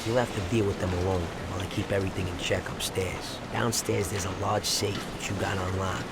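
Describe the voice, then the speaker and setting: A scheming, streetwise gangster in a crime game. His voice is on the low side and his delivery blunt and businesslike. Street and vehicle noise is plainly audible around him. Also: level tone, brisk, gravelly. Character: scheming, brisk gangster planning a robbery. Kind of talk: video game cutscene acting. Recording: noisy street ambience with vehicles.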